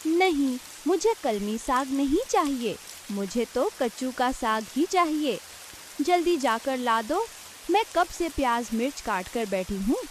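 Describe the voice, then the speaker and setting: Woman acting a high-pitched stubborn village wife. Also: expressive, measured pace. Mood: insistent. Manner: insistent, scolding. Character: stubborn, nagging village wife, bossy yet homely. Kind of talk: cartoon story dialogue acting. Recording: hiss with rain and sizzle noise.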